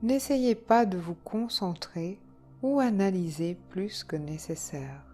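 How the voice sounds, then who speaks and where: A woman leading a guided yoga nidra relaxation, speaking calmly and soothingly in short phrases with long pauses. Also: fairly low pitch. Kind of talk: guided relaxation meditation, slow instructions. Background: soft background music.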